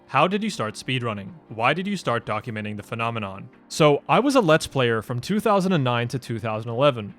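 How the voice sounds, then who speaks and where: A man's voice: medium pitch, very animated, fairly quick, hardly any pauses, fairly bright tone, soft background music. A man in his twenties from Denmark, answering fan questions in a loose, rambling way with many fillers and restarts. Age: 20s. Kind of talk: casual Q&A vlog.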